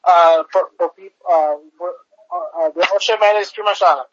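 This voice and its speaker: A man co-hosting a podcast, chatting casually about politics in a halting way, piling up fillers and restarts. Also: high voice, highs cut off, background noise. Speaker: podcast co-host.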